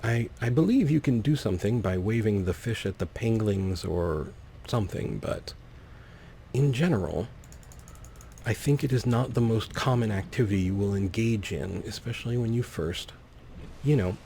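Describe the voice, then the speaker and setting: A man's voice: lowish voice, lively intonation, normal speed, gravelly, mellow. A man giving casual, playful gameplay commentary as a let's play host, rambling with wry asides. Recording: faint background noise.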